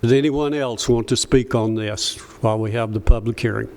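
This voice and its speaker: A man chairing a zoning board hearing, brisk and procedural. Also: mid-range voice, fairly even intonation, a little mellow. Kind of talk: chairing a public meeting. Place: council meeting chamber. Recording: reverberant hall with faint ambience.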